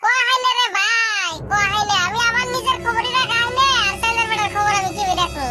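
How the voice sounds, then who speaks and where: Cartoon casting: indignant little village boy, extremely high voice, loud music bed. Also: fairly quick. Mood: aggrieved. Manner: grumbling, indignant. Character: squeaky, aggrieved, quarrelsome little schoolboy. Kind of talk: comedy cartoon dialogue.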